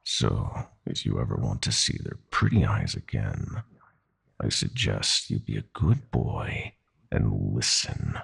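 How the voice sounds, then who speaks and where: A man playing a menacing, gloating god of nightmares in an audio drama, speaking in silky, mocking threats with theatrical slowness. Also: wildly swooping pitch, fairly bright tone, heavily acted. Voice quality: very deep, gravelly, breathy. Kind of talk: roleplay audio drama acting.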